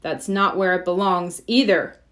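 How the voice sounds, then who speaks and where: A woman's voice: on the low side, normal speed, fairly bright tone, voice pushed down, lightly acted. A woman voicing a stern, annoyed father turtle in a children's storybook.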